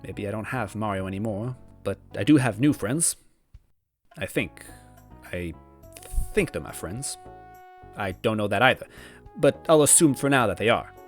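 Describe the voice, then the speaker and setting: Man acting anxious young man, hesitant self-doubting storybook narration, low voice, soft music behind. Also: animated, normal speed, long pauses, close to an everyday voice. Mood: anxious, brooding. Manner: hesitant, wavering, second-guessing. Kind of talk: first-person storybook narration. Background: background music.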